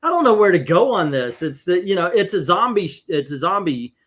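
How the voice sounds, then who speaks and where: A man's voice: sits high, sing-song, brisk, a bit bright. Wry man in his fifties. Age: 50s. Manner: wry, reads comic dialogue aloud mockingly. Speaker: comic book podcast host. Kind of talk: casual chat.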